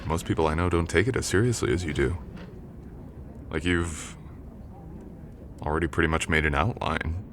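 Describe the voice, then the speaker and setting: A very deep young male voice from an actor plays a college jock in a boyfriend roleplay. He is confident and teasing, with a gentle, protective streak. The delivery is intimate and conversational, with some background noise. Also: moderate pace, pauses often, natural voice. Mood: teasing, admiring.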